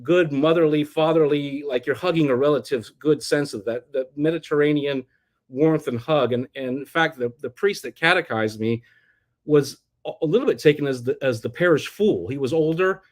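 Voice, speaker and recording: A man's voice: fairly high pitch, moderate pace. Rambling middle-aged American man. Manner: rambling, many restarts and fillers. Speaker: interview guest. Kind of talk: casual personal storytelling.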